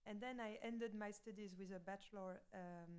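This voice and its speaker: A woman's voice: medium pitch, steady tone, moderate pace, darkish tone, clean tone. A woman in her thirties with a Swiss French accent, a native French speaker giving a lecture in English, a little nervous and hesitant. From French-speaking Switzerland. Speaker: guest lecturer, graphic designer.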